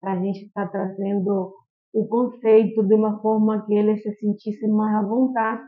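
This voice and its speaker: A woman from Cuba with a Cuban Spanish accent, a chemistry professor giving measured, explanatory answers full of small fillers as a podcast guest. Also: mid-range voice, flat delivery. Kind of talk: podcast interview. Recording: tinny phone-like line.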